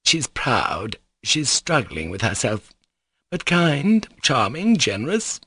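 A man's voice: mid-range voice, huge pitch swings, slow, pushed and bright, slightly rough, no echo, clean studio sound, voice pushed up, heavily acted. A man voicing a gushing, flighty middle-aged society mother who exclaims and repeats herself in an audiobook story. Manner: effusive, repetitive, exclaiming.

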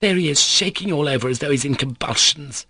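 A male narrator plays an indignant grown daughter in a storybook. The pitch is lifted, the manner sharp-tempered, impatient and scolding. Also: lively intonation, brisk, slightly rough, no echo, full character voice.

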